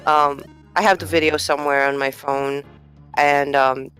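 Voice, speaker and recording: A woman's voice: deep voice, little pitch movement, normal speed, a bit bright, faint music behind. A woman chatting casually on a speedrun stream, telling stories with lots of fillers and giggles.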